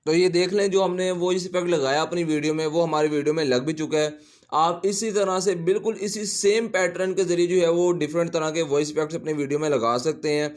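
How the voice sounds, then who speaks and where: Male tutorial host, a little higher in pitch, casual walk-through delivery with repetitive instructional phrasing. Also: fairly even intonation, brisk. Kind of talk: casual step-by-step app tutorial.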